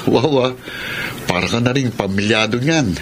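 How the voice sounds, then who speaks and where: An actor playing an easygoing, gently sceptical young male friend in a Filipino radio drama, talking conversationally. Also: medium pitch, moderate pace, natural voice. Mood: teasing, advising. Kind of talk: Tagalog radio drama acting, casual dialogue. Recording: clear ambient noise behind dialogue.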